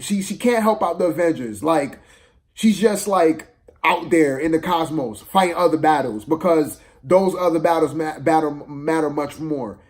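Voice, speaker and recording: A man's voice: on the high side, darkish tone. A man giving a casual, opinionated movie review, exasperated and slangy, repeating phrases for emphasis.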